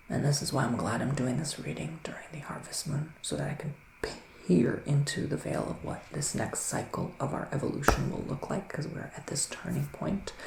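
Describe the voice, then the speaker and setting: Guy doing a hushed male witch reading tarot, creaky whispery asmr voice, gentle n gloomy, bit roomy. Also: fairly high pitch. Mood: grave, foreboding. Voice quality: soft, breathy, often slipping into whispers. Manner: hushed, unhurried, musing, with dramatic pauses. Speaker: ASMR performer, tarot reader. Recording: roomy sound with faint background noise.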